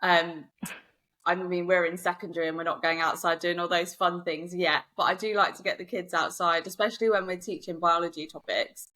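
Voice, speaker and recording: Woman, podcast host, upbeat, encouraging, lowish voice. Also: fairly even intonation, a little fast, pushed and bright, low-quality recording. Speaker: podcast host, secondary teacher. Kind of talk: podcast hosting.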